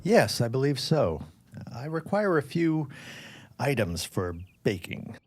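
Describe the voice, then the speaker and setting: An actor playing a gentle, troubled middle-aged father in a period audio drama, formal and mildly droll in manner. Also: medium pitch, sing-song, slow pace, slight background noise. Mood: courteous.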